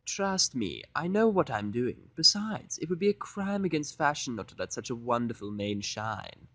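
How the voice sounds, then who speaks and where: A man voicing a prim, fussy, theatrical female pony fashionista in a fan fiction audio drama, with a haughty, drawn-out, dramatic delivery. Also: medium pitch, very animated, bright, forceful tone, no echo. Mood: coaxing.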